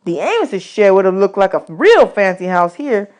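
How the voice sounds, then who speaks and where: A woman reads a children's novel aloud. She narrates in the first person as a storyteller, at a medium pitch. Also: very animated, moderate pace, darkish tone. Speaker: audiobook reader.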